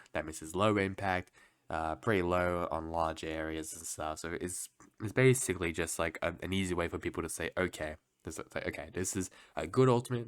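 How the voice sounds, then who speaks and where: A young man with a very low, slightly sick voice reads through game patch notes casually. He hesitates, restarts and hedges often. The recording is dry and close, with no room sound. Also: a bit bright, dry recording. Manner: hesitant, many restarts and guesses. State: sick, voice lower than usual. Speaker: gaming video host.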